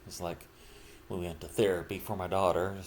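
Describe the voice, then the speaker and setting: A middle-aged man talking casually and reflectively about himself, in halting, broken phrases with trailing pauses. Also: low voice, level tone, darkish tone. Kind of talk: casual personal monologue, rambling reflection.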